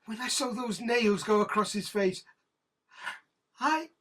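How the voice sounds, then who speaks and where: An actress playing an embittered, guilt-ridden middle-aged senior police detective with a British accent, halting and volatile in a naturalistic audio drama. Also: female voice, mid-range voice, a bit bright. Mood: anguished confession. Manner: halting, bitter, emotionally volatile.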